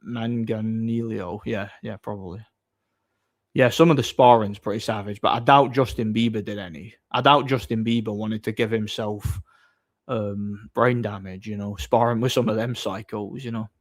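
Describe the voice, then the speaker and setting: A man, chatting casually on a livestream, reading out viewer messages and answering with mocking boasts. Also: medium pitch. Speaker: live streamer reading chat.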